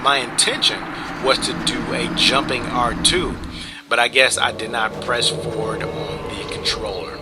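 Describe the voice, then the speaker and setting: A man giving relaxed, rambling commentary over his gameplay, full of "you know" and "right?" fillers. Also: on the low side, noisy background. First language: English.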